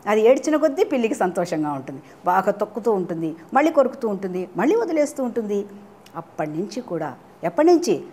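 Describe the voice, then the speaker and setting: A woman telling a children's story in an animated way, often questioning her listeners and mixing in English phrases. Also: medium pitch, quick pace. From India.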